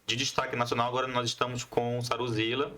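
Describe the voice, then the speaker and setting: A man's voice: mid-range voice, completely flat delivery, quick pace, crowd noise. A man with a northern Brazilian accent explaining things as a podcast guest in casual conversation, often adding little tags to check agreement. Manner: explanatory, repeats "né" as a tag. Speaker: podcast guest, comics collective member.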